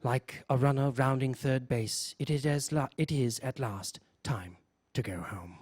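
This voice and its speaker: A man hosting a radio show, reading mock nature-documentary narration in a deadpan, solemn way. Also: medium pitch, unhurried. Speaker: host of a live variety radio show.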